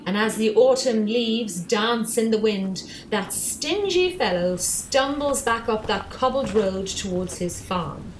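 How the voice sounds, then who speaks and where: A woman's voice: on the high side, takes it slowly, hardly any pauses. A woman narrating a scripted tale for a radio play in a storytelling style. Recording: echoey room, faint noise.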